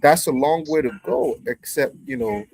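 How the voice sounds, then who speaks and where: Rambling husky man from New York. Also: mid-range voice, moderate pace, darkish tone, rough recording. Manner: rambling, self-correcting, argumentative. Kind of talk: casual group talk.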